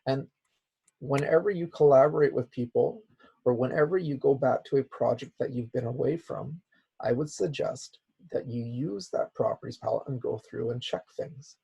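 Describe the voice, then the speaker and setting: A man with a raspy, hoarse voice, as he is losing it, teaching a software class with many "okay"s. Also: mid-range voice, moderate pace, highs cut off. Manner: repeats "okay" as a filler. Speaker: instructor. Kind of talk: software tutorial lecture.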